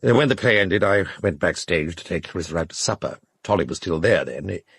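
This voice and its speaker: Very deep, husky, cynical literary gentleman. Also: expressive, no echo, exaggerated voice. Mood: candid, reflective. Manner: dry, articulate, knowing, measured phrasing. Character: cynical, urbane male literary critic and former lover. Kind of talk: naturalistic audio drama dialogue.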